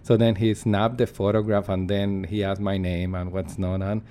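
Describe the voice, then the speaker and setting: A man's voice: fairly low pitch, level tone, normal speed, warm tone. A man, a performance artist, giving earnest and reflective interview answers while searching for his words.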